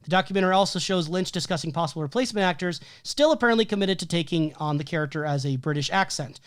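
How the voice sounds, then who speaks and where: Steady man reading film notes, hoarse. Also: fairly high pitch, fast, no room sound, studio quality. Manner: methodical, listing details with frequent "um" and "like". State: losing his voice. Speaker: podcast co-host.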